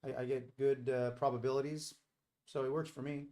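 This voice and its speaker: Middle-aged man, livestream host, informal, rambling explanations, medium-pitched voice. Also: level tone, moderate pace, mellow. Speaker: live stream host and trader. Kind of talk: casual live-stream chat.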